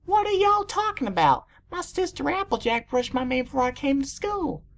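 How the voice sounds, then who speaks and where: A woman's voice: high voice, very animated, normal speed, creaky, a little hiss or hum, pitched above the performer's own voice, full character voice. A woman voicing a naive young pony filly with a southern drawl in a storybook reading. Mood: puzzled, hurt.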